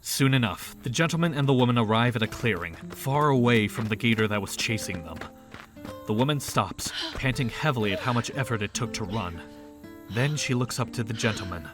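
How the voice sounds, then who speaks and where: A man's voice: mid-range voice, expressive, a little fast. A man narrating a scripted audio drama in storybook style. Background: background music.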